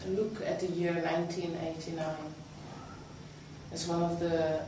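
A woman's voice: on the low side, level tone, a little slow. Woman interviewer in an echoey room. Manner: hesitant, with frequent ums. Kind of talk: conversational interview questions. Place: meeting room, indoors. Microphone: far camera mic. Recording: echoey room, distant mic, background noise.

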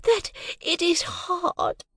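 A man's voice: very high-pitched, drawn-out words, fairly bright tone, no echo, clean studio sound, voice pushed up, full character voice. A man voicing a gushing, fawning middle-aged lady, a toadying neighbour in a Victorian novel audiobook, cloyingly sentimental. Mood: gushing, tearful sympathy.

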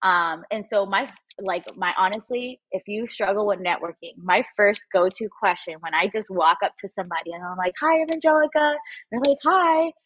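A Spanish-speaking woman in her thirties from Puerto Rico, giving advice in a lively video-call interview through earbuds. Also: mid-range voice, moderate pace, rough recording. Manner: animated, acts out quoted voices. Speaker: guest photographer and coach. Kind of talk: casual livestream interview. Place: room at home. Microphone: wireless earbuds, video call.

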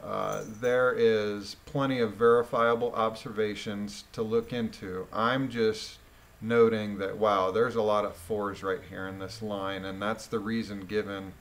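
A man reading a document aloud and adding informal commentary in a deliberate, musing way. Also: on the low side, a bit bright.

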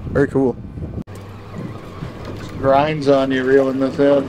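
A man's voice: mid-range voice, monotone, slow pace, noisy background. A man chatting casually while fishing outdoors on a boat, picked up by a camera mic nearby. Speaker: recreational angler. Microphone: camera mic a few feet away.

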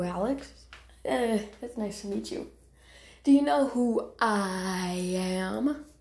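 A woman's voice: on the high side, a little mellow. A woman voicing a cocky, boastful young warrior cat in amateur character voice lines, with a dramatic, teasing manner. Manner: bragging, taunting, dramatic. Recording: roomy home recording.

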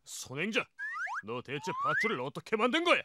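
A woman voicing the bossy leader of a band of small guardian spirits, giving brisk orders in a children's storybook cartoon. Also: on the high side, wildly swooping pitch, noisy background. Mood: scolding. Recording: music under the voice.

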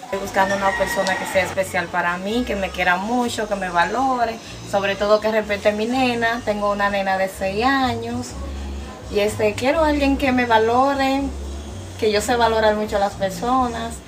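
A woman's voice: medium pitch, moderate pace, music in the background. A Dominican woman introducing herself earnestly on camera on an outdoor patio. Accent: Dominican Spanish. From Dominican Republic. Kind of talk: short personal introduction. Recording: loud background noise.

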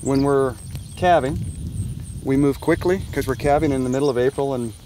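A man's voice: mid-range voice, moderate pace, dark tone, low-quality recording. An older man, a cattle farmer, describing his routine in a plain-spoken, practical way out in a pasture. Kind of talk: casual explanation. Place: open pasture outdoors. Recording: outdoor field with faint noise.

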